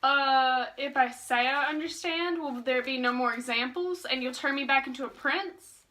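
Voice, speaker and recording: Woman playing a whiny, high-voiced frog prince. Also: moderate pace, sharp tone. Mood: hesitant. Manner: dramatic, whining, reluctant. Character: whiny, vain frog prince desperate to be human. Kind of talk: student puppet show.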